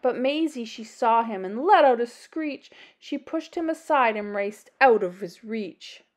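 Animated woman reading a picture book, unhurried. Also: fairly high pitch. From Canada. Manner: animated, deliberate storybook delivery. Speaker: storyteller reading children's books aloud. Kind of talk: expressive picture-book read-aloud.

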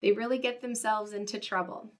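A woman in her forties hosting a children's storytime, reading slowly and expressively in a home office. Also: mid-range voice. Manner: animated, slow and expressive. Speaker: storytime host, educator. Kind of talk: children's read-aloud. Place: home office with bookshelves, indoors.

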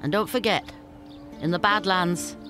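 An actress playing a shrewd female scavenger leader in a fantasy game, brisk and businesslike with a teasing edge. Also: fairly low pitch, pushed and bright. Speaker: game character. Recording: game audio with faint music.

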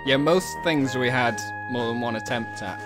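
A man's voice: medium pitch, normal speed. A man giving a casual, joking behind-the-scenes audio commentary as co-host and performer. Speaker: co-host, puppet show writer and performer. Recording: music under the talk. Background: musical soundtrack of the show being discussed.

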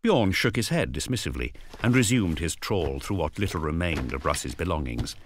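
Deep-voiced male dramatic narrator. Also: big pitch swings, background noise. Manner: grave, storytelling, with deliberate pauses.

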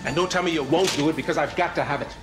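A man's voice: fairly high pitch, rapid-fire, natural voice. An actor playing an impatient, demanding man in film drama dialogue. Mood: urgent, demanding. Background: music.

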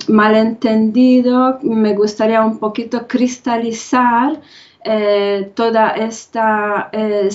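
Home webcam recording, woman slowly lecturing with fillers, a bit high. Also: darkish tone. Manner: slow, halting, many filler sounds. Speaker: conference speaker. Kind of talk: online talk on spirituality. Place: home room with bookshelves. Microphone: video call webcam. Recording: dull lo-fi webcam audio.

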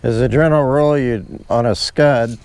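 A middle-aged man giving casual, instructional fishing commentary in short, unhurried remarks, recorded outdoors on a boat. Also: mid-range voice, darkish tone. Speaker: fishing guide demonstrating technique. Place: outdoors on an open boat on a lake. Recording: clear outdoor noise, clicks of gear.

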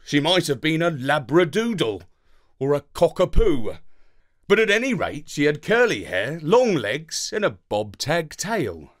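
A British man reads a children's story with hugely animated intonation. His voice sits a little high, and he takes his time, delivering it theatrically and deliberately. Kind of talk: expressive children's story narration.

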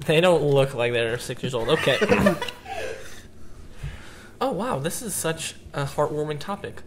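A man's voice: on the high side, animated, measured pace, slight background noise. A young man co-hosting a casual podcast, speaking in a dry, understated and slightly hesitant way. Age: young adult. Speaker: podcast co-host. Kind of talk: casual chat. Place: small office conference room. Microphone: headset with desk mic.

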